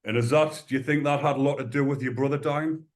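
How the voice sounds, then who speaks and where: A man's voice: mid-range voice, fairly even intonation, fast. Guy hosting a podcast, chatty rambling questions, medium voice. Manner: chatty, loosely phrased questions. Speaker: podcast host. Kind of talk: interview questions and introductions.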